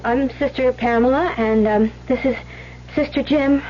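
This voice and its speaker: An actress playing a timid, earnest young nun, polite and halting, in an old-time radio drama. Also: female voice, high-pitched, steady tone, darkish tone. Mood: nervous, polite. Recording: muffled, noisy old radio recording.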